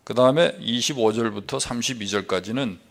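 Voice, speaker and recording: A man pastor preaching a sermon, talking in quick runs with frequent fillers between phrases. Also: medium pitch, a bit bright.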